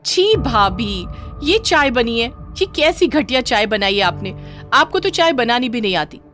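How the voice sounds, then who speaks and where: Woman acting haughty young sister-in-law, high and sneering. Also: sing-song, unhurried, soft background music. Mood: scornful, angry. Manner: sneering, sharp-tongued, condescending. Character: haughty, spiteful young sister-in-law who taunts and belittles. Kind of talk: acted dialogue in a moral story.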